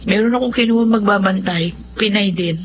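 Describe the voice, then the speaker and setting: A woman acts out a young Filipina migrant worker in a radio-style drama. She is hardworking and burdened by guilt, speaking with a Filipino accent at an average pitch. The audio is somewhat muffled. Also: brisk, slight background noise, close to an everyday voice. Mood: determined.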